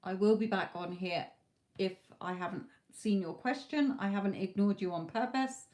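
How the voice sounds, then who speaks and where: A woman giving live tarot readings to viewers, talking reassuringly, her voice going a little hoarse from hours of talking. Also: mid-range voice, normal speed, a little mellow. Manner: reassuring, calls viewers "my lovely". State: losing her voice, a bit hoarse. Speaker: tarot reader.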